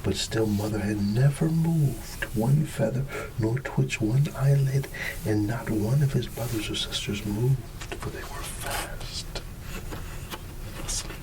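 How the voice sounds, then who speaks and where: A man reading a bedtime story in ASMR style, hushed and drawn out, sometimes dropping into a whisper. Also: mid-range voice. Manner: hushed, unhurried, drawn-out words with playful asides. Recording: steady background ambience noise. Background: ambient background sound.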